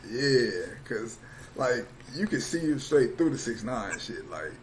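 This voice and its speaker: A man hosting an interview, asking loose, rambling questions in relaxed slang. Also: medium pitch, slow, slight background noise, rough recording. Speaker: interviewer, host.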